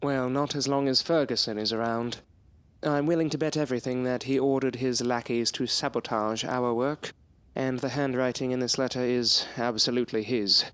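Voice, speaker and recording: Man acting a proud, formal gentleman scientist. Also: medium pitch, steady tone, normal speed, clear, resonant tone, no room sound, close to an everyday voice. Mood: determined. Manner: formal, articulate, theatrical. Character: proud, defiant, loyal male scientist, courteous but bitter. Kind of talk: period audio drama acting.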